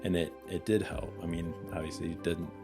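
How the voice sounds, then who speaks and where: A man in his forties, co-hosting a podcast, talking candidly and a little haltingly in casual chat. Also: low-pitched, level tone, over music. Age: 40s. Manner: candid, hesitant with restarts. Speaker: podcast co-host.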